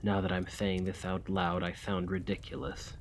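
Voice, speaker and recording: A man playing a centuries-old vampire gentleman in a scripted ASMR roleplay, weary and courteous, with formal, old-fashioned manners and a measured delivery. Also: low-pitched, little pitch movement, vocal fry, slight background noise. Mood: confessional, sorrowful.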